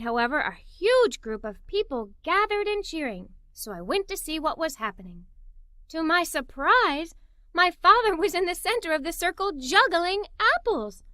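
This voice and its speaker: A woman narrating a children's story aloud in an expressive, playful way. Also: high voice, no room sound, clean studio sound.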